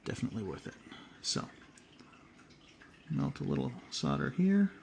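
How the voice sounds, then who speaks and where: A man's voice: medium pitch, normal speed, creaky, a little hiss or hum. Man thinking aloud at a workbench. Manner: relaxed, thinking aloud while working. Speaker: video host. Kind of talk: hands-on tutorial.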